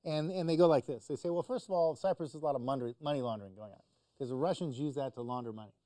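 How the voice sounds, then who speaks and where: A man's voice: mid-range voice, fast talker, warm tone, no echo, clean studio sound. A man explaining the news conversationally as a talk show commentator, in a hesitant, informal way.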